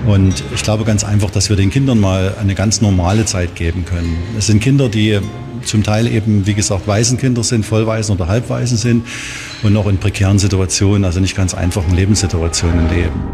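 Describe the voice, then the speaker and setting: A man's voice: fairly low pitch, steady tone, brisk. A middle-aged man, a works council member, answering interview questions in a hesitant way with filler sounds. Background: soft guitar music.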